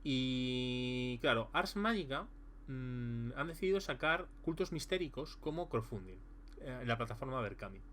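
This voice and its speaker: A man's voice: mid-range voice, animated, normal speed, a bit bright, a little hiss or hum. A man casually reviewing a tabletop role-playing game, rambling with many fillers and restarts. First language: Spanish.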